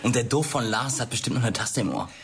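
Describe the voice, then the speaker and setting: An actor playing a brash, mocking teenage school bully in a radio drama, talking in slangy taunts. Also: male voice, mid-range voice, quick pace, creaky, background noise, natural voice. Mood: cocky. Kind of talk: modern radio drama acting.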